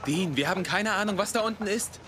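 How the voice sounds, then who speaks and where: A man's voice: high voice, fast, background noise, close to an everyday voice. A man acting an earnest, cautious younger brother who investigates, speaking seriously in a dubbed German TV drama. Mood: stubborn, tense.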